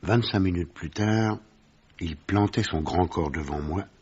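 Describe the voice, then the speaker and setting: Casting: doctor-narrator, deep and husky, composed and sensible, detective radio play with dull sound. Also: male voice, measured pace, a little mellow. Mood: composed, storytelling. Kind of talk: scripted radio drama narration.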